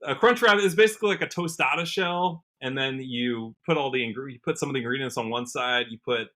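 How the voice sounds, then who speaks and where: A man's voice: mid-range voice, a little fast, a bit bright. A young American man talking casually and upbeat as a guest in an interview, peppering his talk with "like". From Washington state, United States. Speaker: podcast guest, country musician.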